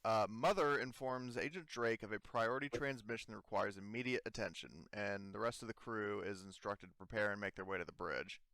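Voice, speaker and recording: A man acting as game master, narrating a tabletop game casually and haltingly as he improvises. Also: fairly low pitch, sharp tone, dry recording. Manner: halting, conversational.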